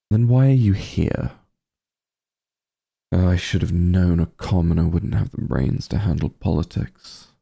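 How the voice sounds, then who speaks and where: Guy acting as evil sorcerer king for asmr, low husky voice, pompous n sardonic, fed up with everyone. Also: mellow, exaggerated voice. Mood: imperious scorn. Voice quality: gravelly, breathy. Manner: pompous, drawling, sardonic, theatrical sighs. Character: haughty evil sorcerer king, vain, exasperated, disdainful.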